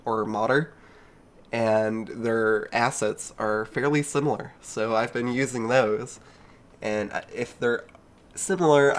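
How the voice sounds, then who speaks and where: A young man with a cold, sounding nasal and stuffed up, giving rambling, self-mocking gameplay commentary as a streamer. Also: mid-range voice. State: congested with a cold. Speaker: video game streamer.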